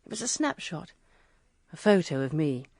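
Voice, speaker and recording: An actress playing a grieving, guilt-ridden widow in a radio drama, speaking in a halting, sorrowful, hushed manner. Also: female voice, low voice, sing-song, no echo, exaggerated voice. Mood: numb grief.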